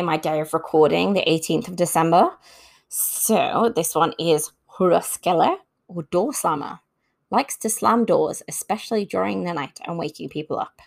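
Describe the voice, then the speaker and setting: A woman's voice: on the low side, vocal fry. A woman hosting an informal talk on folklore, reading from notes and adding casual asides. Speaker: host. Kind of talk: informal scripted narration about folklore.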